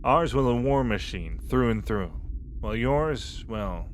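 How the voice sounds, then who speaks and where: Man playing calm mid-pitched spacefarer. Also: measured pace, a little hiss or hum, natural voice. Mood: reflective. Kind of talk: audio roleplay acting.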